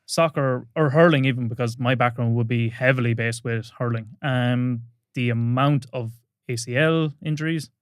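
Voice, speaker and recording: A man's voice: mid-range voice, moderate pace, smooth, no echo, clean studio sound. A young man from rural Ireland with a rural Irish accent, an easygoing guest telling stories in a casual podcast chat. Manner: easygoing storyteller, casual swearing.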